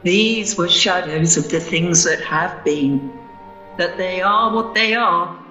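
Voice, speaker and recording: A woman playing a solemn, relentless spirit in a radio play, deliberate and commanding. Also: on the low side, sharp tone, faint music behind. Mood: solemn, insistent. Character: solemn, relentless female ghost of Christmas past. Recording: echoey, muffled sound.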